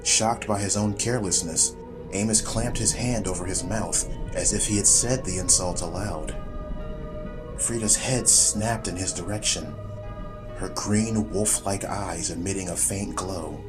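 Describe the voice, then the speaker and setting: A man narrating a scripted audiobook story in a measured, deliberate, dramatic storytelling style. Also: deep voice, music in the background, poor audio.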